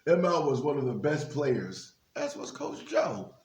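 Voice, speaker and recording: A man's voice: fairly high pitch, wildly swooping pitch, a little slow, darkish tone, a little hiss or hum. A man reading a children's book aloud, breaking off for informal, encouraging asides to his young listeners. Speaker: guest reader at a children's book reading for a basketball team's literacy program. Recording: echoey, reverberant room.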